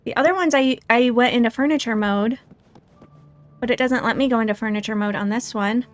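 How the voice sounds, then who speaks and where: Higher-pitched woman, chatty livestreamer. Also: brisk, soft background music. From United States. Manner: chatty, thinking aloud, self-deprecating. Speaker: game livestream host. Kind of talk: casual livestream chat and gameplay commentary.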